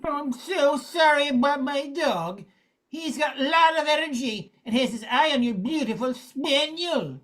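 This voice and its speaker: A woman's voice: high voice, expressive, a little slow, a bit bright. A woman playing a friendly but harried male dog owner, polite and apologetic, in an amateur radio play.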